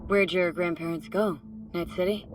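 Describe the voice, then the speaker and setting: A woman plays a laid-back nomad companion from a video game, curious and casually teasing. Her delivery is dry, and loud music and underwater sounds run behind her. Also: lowish voice, steady tone, normal speed, natural voice, poor audio. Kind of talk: naturalistic video game acting.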